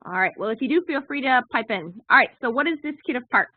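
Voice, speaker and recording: A woman's voice: fairly high pitch, lively intonation, fast, dry recording. A woman co-hosting a conference call, giving an informal, informational briefing over the telephone. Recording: narrow, tinny phone conference line.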